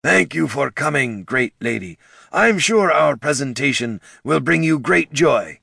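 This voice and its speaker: A man's voice: medium pitch, animated, unhurried, creaky, pushed and bright, husky, no room sound, clean studio sound, pitched above the performer's own voice, full character voice. A man playing a portly, graying male orc presenter with an eager, pompous, salesman-like manner.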